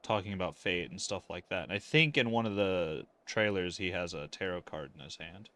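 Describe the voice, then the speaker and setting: A man's voice: low-pitched, normal speed, fairly bright tone. A man giving casual, sardonic livestream commentary as a game streamer, grumbling along as he goes.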